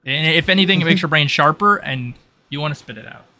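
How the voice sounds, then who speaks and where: A man's voice: a little high, fast talker, bright, forceful tone. A man speaking as game master in a tabletop roleplay session.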